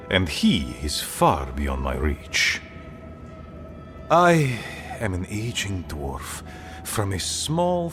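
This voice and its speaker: An actor playing an aging dwarf, a weary, pragmatic conspirator speaking gravely and earnestly in a fantasy game. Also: male voice, fairly low pitch, a bit bright, heavily acted. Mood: measured, persuasive. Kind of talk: dramatic game dialogue.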